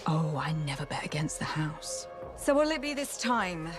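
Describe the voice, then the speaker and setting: An actress playing a breezy, shady shopkeeper in a video game, with a sly, dry, teasing manner. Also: female voice, sits low, big pitch swings, normal speed. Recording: music behind the voice.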